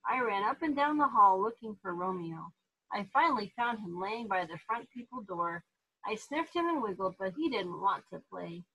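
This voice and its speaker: A woman voicing an innocent, excitable young male dog in a children's storybook, wide-eyed and childlike. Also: mid-range voice, moderate pace, slightly rough, full character voice, poor audio. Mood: excited, happy.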